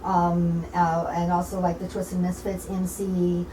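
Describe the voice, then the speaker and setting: A woman's voice: lowish voice, monotone, unhurried. Distant, echoey American woman, rambling earnestly. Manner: earnest, rambling, repeats phrases. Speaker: community organizer and interview guest. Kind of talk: informal radio interview talk. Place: echoey indoor broadcasting room. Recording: roomy, distant mic with faint noise.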